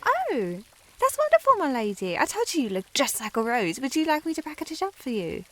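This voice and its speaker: Woman acting high-voiced, eager shop girl. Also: huge pitch swings, a little fast, poor audio. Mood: eager, polite. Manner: polite, eager to please. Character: eager, deferential young shop girl, chirpy and earnest. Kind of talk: historical audio roleplay.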